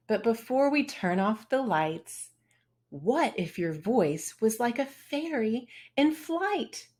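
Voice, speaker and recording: A woman's voice: fairly low pitch, a little mellow. A woman hosting a read-aloud, narrating a children's storybook. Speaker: read-aloud channel host.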